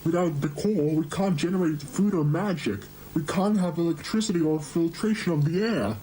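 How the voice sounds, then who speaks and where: A man plays a worn-out monster queen in a fan horror drama, anxious and scolding. The tone is dark and mellow, fairly high for a man, over faint noise. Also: moderate pace, lightly acted, low-quality recording. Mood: weary, distressed.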